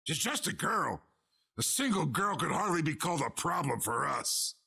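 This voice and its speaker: A man's voice: on the high side, sing-song, normal speed, vocal fry, bright, forceful tone, slightly rough, exaggerated voice. A man playing an arrogant, dismissive villain in a video game. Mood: scornful. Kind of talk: English video game dub acting.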